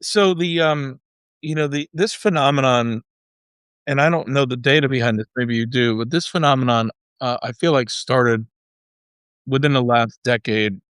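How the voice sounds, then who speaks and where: A man's voice: mid-range voice, normal speed, a bit bright, clear, resonant tone, no echo, clean studio sound. A man hosting a conversational interview, informal and thinking aloud as he builds his questions. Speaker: podcast host, interviewer.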